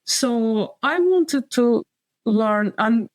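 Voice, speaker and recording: A woman's voice: fairly high pitch, lively intonation, extremely slow, dry recording. A woman with a Turkish accent, a designer and publisher talking as an interview guest, hesitant and circling back to her point. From Turkey. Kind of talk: casual interview conversation.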